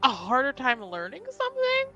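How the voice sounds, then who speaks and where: A woman in casual group chat as a tabletop player, animated and joking, swearing freely. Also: high-pitched, normal speed, highs cut off, music in the background.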